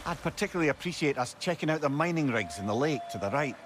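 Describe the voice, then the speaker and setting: An actor playing a wry, learned old severed-head advisor in a video game, articulate and wordy. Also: male voice, fairly high pitch, expressive, quick pace, noisy background, close to an everyday voice. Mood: explaining, regretful. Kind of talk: dramatic video game acting. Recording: game music under the voice.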